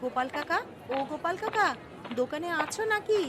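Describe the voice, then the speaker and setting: A woman's voice: extremely high pitch, lively intonation, brisk, crowd noise. A woman playing a poor, humble young village mother in a cartoon story, speaking earnestly and respectfully. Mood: calling, polite.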